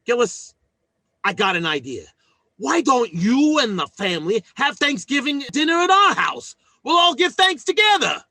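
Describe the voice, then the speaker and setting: A blustery, big-hearted working stiff of a dad in a radio comedy. His bright, high voice swoops about as he mangles his grammar and turns sentimental, all closely and dryly recorded. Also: very animated, moderate pace, no room sound, heavily acted. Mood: excited. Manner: folksy, ungrammatical, sentimental blustering. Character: good-hearted, blustery working-class family man and father. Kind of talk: old-time radio comedy acting.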